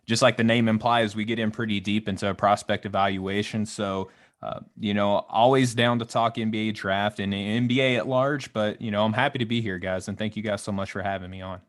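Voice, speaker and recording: A man's voice: on the low side, steady tone, fairly quick. A man from Arkansas with a Southern US accent, a courteous, folksy podcast guest giving casual sports analysis.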